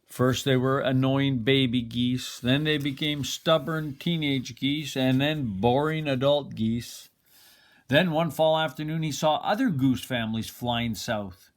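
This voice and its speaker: An older man reading a children's picture book aloud expressively, like a grandfather, with dramatic pauses. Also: mid-range voice.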